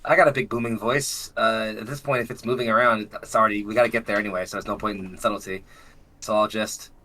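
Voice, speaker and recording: Bright-voiced wry man at the gaming table. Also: fairly low pitch, fairly even intonation, fast. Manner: wry, sardonic, profane. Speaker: player. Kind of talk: casual tabletop play.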